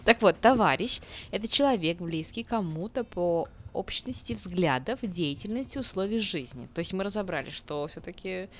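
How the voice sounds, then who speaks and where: A woman's voice: lowish voice, lively intonation, moderate pace, hardly any pauses. A woman co-hosting a radio chat from a studio, chatty, reading out word definitions. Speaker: radio co-host.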